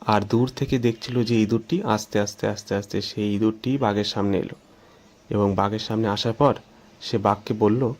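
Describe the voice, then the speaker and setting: A man narrating a scripted children's story in an unhurried storytelling manner. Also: on the low side, steady tone.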